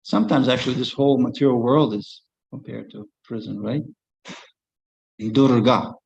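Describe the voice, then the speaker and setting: A man's voice: medium pitch, normal speed, gravelly, darkish tone, husky, muffled recording. A man giving a devotional lecture as a spiritual teacher, conversational, chuckling, and asking rhetorical questions.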